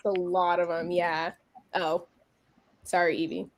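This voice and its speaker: A woman's voice: on the low side, level tone, unhurried. A woman in her late twenties on a video call, laid-back and laughing often. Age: 20s. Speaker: booktuber guest. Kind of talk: casual video-call chat. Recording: very noisy video call audio.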